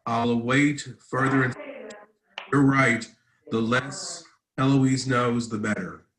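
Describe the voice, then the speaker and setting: A man playing a secretive caller, halting and clipped, in a drama script read. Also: medium pitch, fairly even intonation, fairly bright tone. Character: secretive man leaving a phone message. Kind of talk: dramatic table read of a web series script. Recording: echoey room, off-mic.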